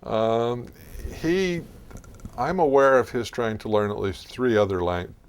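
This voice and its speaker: A man speaking as an expert guest in an interview, explaining in a halting, deliberate, scholarly way. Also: medium pitch, clean tone.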